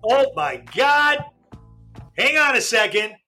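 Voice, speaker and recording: Man, podcast host, high pitch, bright forceful tone, chatty with lots of fillers. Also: big pitch swings, slow pace, soft background music. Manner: chatty, many fillers, loud self-described big voice. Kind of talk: casual live podcast chat.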